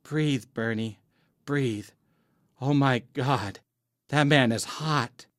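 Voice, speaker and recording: A man voicing an excitable young woman muttering swooning, self-mocking thoughts to herself in an audiobook story. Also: medium pitch, slow pace, no echo, clean studio sound. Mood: flustered, smitten.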